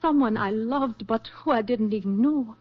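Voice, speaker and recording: A woman's voice: a little high, dry recording, faint music behind, natural voice. An actress playing a devoted, anxious woman in love in a 1940s radio drama, speaking with earnest, tender emotion. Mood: anguished, tender. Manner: earnest, emotional, measured delivery. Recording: muffled, husky 1940s radio recording.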